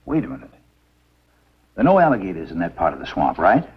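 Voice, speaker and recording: An actor playing a shrewd older doctor, brisk and probing, in a 1950s B-movie. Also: male voice, low voice, wildly swooping pitch, husky, full character voice. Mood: probing, argumentative. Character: shrewd, insistent older doctor, a grown woman's father. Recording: dull 1950s film soundtrack.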